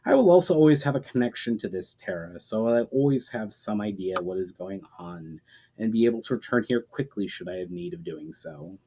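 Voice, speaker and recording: A man's voice: fairly low pitch, expressive, brisk, mellow, husky. Man reading as grave, troubled male mage. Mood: resolved, gentle. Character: troubled, earnest male mage haunted by visions.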